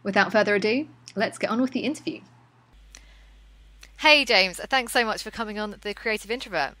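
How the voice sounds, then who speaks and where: A woman's voice: medium pitch, brisk, pushed and bright. A woman hosting a podcast, speaking in a casual, conversational interviewing style.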